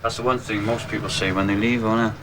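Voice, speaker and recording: A man's voice: on the low side, level tone, quick pace, over a noisy crowd. A man, a soldier, teasing a comrade in wry banter. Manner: joshing, wry. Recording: noisy field recording.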